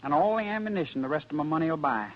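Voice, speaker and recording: An actor playing a proud, stubborn sixteen-year-old cowboy in a radio Western, with terse, folksy frontier talk. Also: male voice, a little high, expressive, very fast, lightly acted. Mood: determined. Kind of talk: 1950s radio Western acting. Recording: dull vintage radio recording with music.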